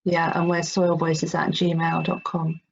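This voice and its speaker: A woman's voice: deep voice, monotone, measured pace, gravelly. A woman speaking as a guest in an informal online discussion, thoughtful and working out her ideas aloud. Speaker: guest presenter, teacher. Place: online video call. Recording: dull, noisy online call with clicks.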